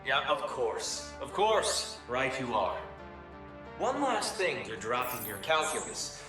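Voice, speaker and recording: An ingratiating game scientist with lively, swinging intonation. He is glib and chummy, heard at a distance in an echoing space with music behind. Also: medium pitch, slow pace. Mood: sly, ingratiating. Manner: glib, hesitant hinting, over-friendly. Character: slick, wheedling male scientist with money on his mind. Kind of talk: video game cutscene acting.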